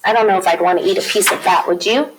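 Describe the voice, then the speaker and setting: A woman reading a picture book aloud as a teacher would, with drawn-out emphasis and explanatory asides to young listeners. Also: mid-range voice, noisy background.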